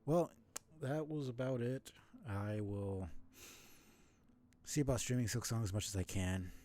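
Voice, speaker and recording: A man's voice: on the low side, darkish tone. A man livestreaming, chatting casually to his viewers in a rambling way as he thinks aloud, with music behind him. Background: music under the voice.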